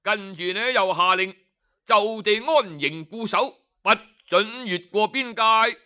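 Hong kong cantonese storyteller guy, high bright voice, takes his time, dramatic pauses. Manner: leisurely, expressive, with drawn-out particles and dramatic pauses.

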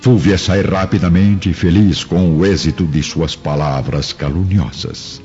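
A man's voice: sits low, steady tone, unhurried, darkish tone. A man narrating a radio drama from a script in a dramatic, storytelling style. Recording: dull lo-fi recording, music behind.

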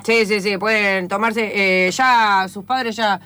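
Woman as a halting, self-important Argentine drama teacher. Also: medium pitch, steady tone, sharp tone, smooth. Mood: pompous, halting. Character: pretentious, absurd male Argentine acting teacher. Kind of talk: improvised comic radio play.

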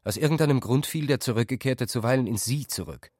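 A man's voice: mid-range voice, fast, darkish tone, dry recording, studio quality. A man giving a dramatic literary narration for a radio play.

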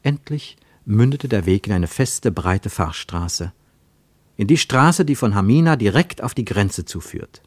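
A man narrating a literary radio travelogue in a measured way, with dramatic weight on single words. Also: on the low side, lively intonation, a little mellow, dry recording.

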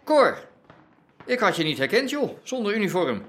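An actor playing a wary, scheming crime boss in a radio drama. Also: male voice, high-pitched, big pitch swings, moderate pace, fairly bright tone. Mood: smooth, probing.

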